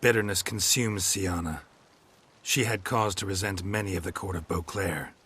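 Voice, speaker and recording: A man playing a stoic, weary monster hunter in a video game, speaking in a terse, restrained way with a gravelly voice. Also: sits low, normal speed. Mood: measured, calm. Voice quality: gravelly, husky. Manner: terse, restrained, even-handed. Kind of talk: video game cutscene acting.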